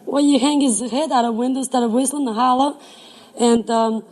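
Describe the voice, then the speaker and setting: A woman's voice: high-pitched, fairly even intonation, moderate pace, darkish tone. A woman contestant telling stories in banter on a 1950s radio quiz show. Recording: vintage 1950s radio broadcast.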